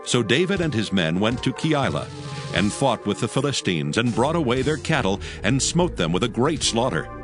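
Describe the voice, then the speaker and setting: A man giving scripted narration of dramatized scripture in a solemn, measured storytelling manner. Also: medium pitch, very animated. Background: faint background music.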